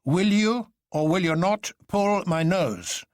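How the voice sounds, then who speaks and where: A man voicing an imperious high-pitched nobleman, insistent. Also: slow pace, dry recording, studio quality. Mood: exasperated, insistent. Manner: formal, commanding, insistent. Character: imperious, exasperated nobleman marquis, male, urgently insistent. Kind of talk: dramatised audiobook character voice.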